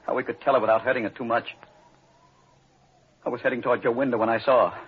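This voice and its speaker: An actor playing a bitter, guilt-stricken suitor, grave and accusing in clipped lines, in an old-time radio drama. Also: male voice, mid-range voice, steady tone, fast, breathy edge. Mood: grave, remorseful. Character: bitter, guilt-stricken suitor who loathes his accomplice. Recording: dull, noisy old radio recording.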